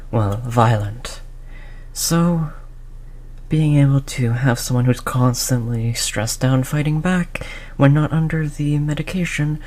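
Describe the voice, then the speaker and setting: A performer of unclear sex playing a cold, condescending researcher who disciplines a captive test subject in a measured, mock-polite way, in an audio roleplay. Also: medium pitch, darkish tone, lightly acted. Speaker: scientist running human experiments. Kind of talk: scripted audio roleplay drama.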